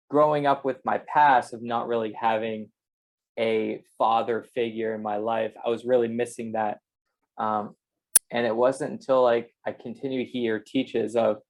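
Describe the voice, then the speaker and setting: Man with an even, steady delivery. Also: mid-range voice, vocal fry. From Canada and the United States. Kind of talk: casual personal testimony.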